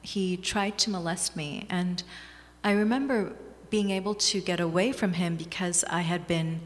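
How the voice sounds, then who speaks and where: A woman author from Bangladesh answers interview questions in a measured, earnest, reflective way. Her voice sits on the low side for a woman. A long reverb trails her words around the hall. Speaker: author and guest. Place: small community gathering room.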